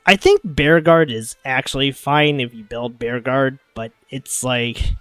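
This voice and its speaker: A man's voice: mid-range voice, measured pace, a bit bright, clear, resonant tone, dry recording, faint music behind. A man streaming a casual game breakdown, sniffling as he comes down with a cold, swearing and laughing often.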